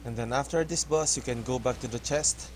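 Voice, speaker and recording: A man from the Philippines with a Filipino accent, English not his first language, giving halting, hesitant commentary over a game tutorial. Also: mid-range voice, darkish tone, slight background noise. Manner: hesitant, halting, restarts words often. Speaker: gaming tutorial host. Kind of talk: casual gameplay commentary.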